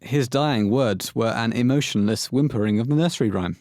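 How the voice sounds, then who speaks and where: A man's voice: mid-range voice, a little fast, a little mellow, dry recording, studio quality. A man reading scripted narration for a horror audio play as its storyteller.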